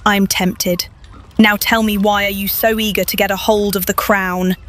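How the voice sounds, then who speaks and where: An actress playing a wary, self-assured female adventurer in a video game, speaking in a measured, dry, questioning way. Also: medium pitch, level tone, fairly bright tone, natural voice. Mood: dry, tempted.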